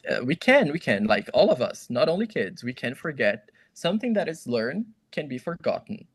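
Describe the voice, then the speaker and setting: A man's voice: mid-range voice, sing-song, normal speed, slightly rough. A man, a guest expert, explains language learning in English step by step like a teacher. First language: Portuguese. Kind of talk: explanatory conversation.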